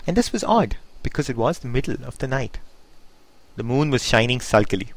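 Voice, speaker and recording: A man reading a poem aloud in a theatrical, expressive storytelling manner. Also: medium pitch, a little fast, no room sound. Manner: theatrical, putting on voices for dialogue. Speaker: reader. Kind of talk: expressive poetry recitation.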